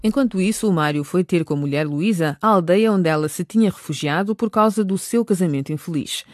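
A woman's voice: fairly low pitch, fairly quick, a little mellow, no room sound, clean studio sound. A woman reading scripted narration for a radio drama.

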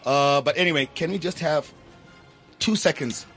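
A man's voice: on the high side, moderate pace, fairly bright tone, faint music behind. A man from Zimbabwe hosting a radio show, teasing his guests and laughing a lot in casual studio banter. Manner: playful, teasing, laughs often.

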